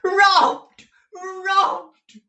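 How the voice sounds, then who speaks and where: Shrieking old miser, shrill and frantic. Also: male voice, little pitch movement, extremely slow, gravelly, bright, forceful tone, full character voice. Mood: screaming panic. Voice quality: shrill, wailing. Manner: panicked, repetitive lamenting. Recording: roomy echo, distant mic.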